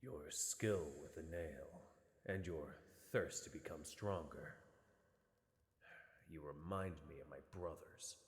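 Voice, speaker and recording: A solitary old master of the blade for a video game. The voice is very deep and unhurried, stern and formal in its wording. It rings through a cavernous, long reverb. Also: male voice. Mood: solemn approval. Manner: grave, formal, measured. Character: stern, solitary old male nail master teaching swordsmanship. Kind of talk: scripted video game dialogue.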